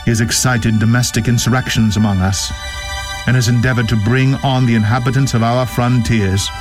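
A man reads a historical document aloud with solemn drama. His delivery is grave and deliberate, declaimed at a middling pitch. Music plays clearly behind him. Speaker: reader.

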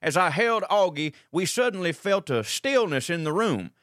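A man's voice: high-pitched, lively intonation, normal speed, a bit bright, dry recording, clean studio sound. A man with a Southern US accent acting a folksy colonel detective who narrates a comic audio drama with wry asides. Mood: dramatic, folksy. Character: folksy, digressive Southern colonel detective telling his tale.

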